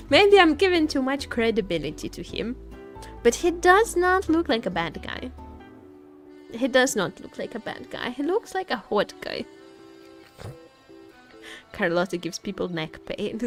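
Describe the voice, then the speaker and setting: A woman's voice: high-pitched, very animated, slow pace, pauses often, a bit bright. Playful woman livestreaming over game music. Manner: playful, teasing, rambling, repeats phrases. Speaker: VTuber streamer. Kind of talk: casual livestream game chat and reactions. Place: indoor home streaming setup.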